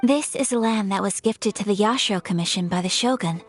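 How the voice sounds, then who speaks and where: A woman voicing a composed young noblewoman in a video game, speaking in a formal, measured and polite manner. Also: medium pitch, soft background music, lightly acted. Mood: calm formal. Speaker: noble of a ceremonial commission.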